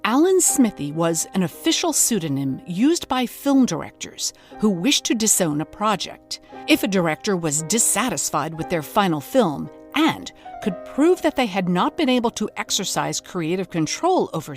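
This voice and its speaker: A woman's voice: mid-range voice, lightly acted. A woman playing a wry, skeptical investigative host, narrating a scripted mock documentary podcast. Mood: curious.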